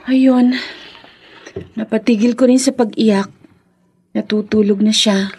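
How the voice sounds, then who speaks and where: An actress playing a caring, supportive young woman in a radio drama, husky and sympathetic. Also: on the high side, steady tone, slow pace, warm tone, full character voice. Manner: consoling, sympathetic. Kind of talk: Tagalog radio drama acting.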